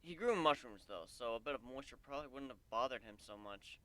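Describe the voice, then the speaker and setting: A man acts out a wry, easygoing pony stallion from a fantasy story reading. He is gentle and understated, a little hesitant, in a clear mid-pitched voice recorded very dry. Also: expressive, a little fast, no room sound. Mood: dry, fond.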